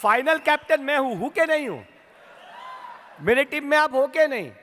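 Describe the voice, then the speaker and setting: A man with an Indian accent giving a rousing motivational speech to his company's sales team, asking the crowd questions and building to shouts. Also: very high-pitched, lively intonation, normal speed, a little hiss or hum. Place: large hall at a training event. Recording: long hall reverb.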